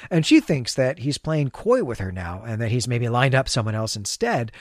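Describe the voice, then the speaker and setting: A man with a mid-pitched voice co-hosts a literary podcast. He discusses a story in a conversational way, feeling his way through thoughts and repeating words. The sound is dry and close, with no room echo. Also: sing-song, moderate pace. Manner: tentative, thinking aloud, repeats words. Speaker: podcast co-host.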